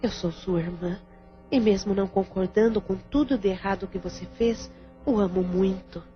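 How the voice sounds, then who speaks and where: Woman acting a caring Brazilian aunt, mid-pitched. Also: normal speed, lightly acted. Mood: earnest, concerned. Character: caring, devout adult sister and comforting aunt. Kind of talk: Brazilian audio drama acting. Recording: dull lo-fi audio under music.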